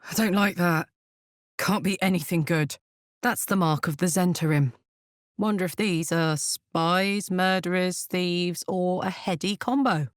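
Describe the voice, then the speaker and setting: A woman's voice: fairly low pitch, a little slow, dry recording, clean studio sound, natural voice. An actress playing a brash, big-hearted tiefling barbarian woman in a video game, blunt and swaggering in manner. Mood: wary, wry. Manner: blunt, slangy, swearing, swaggering.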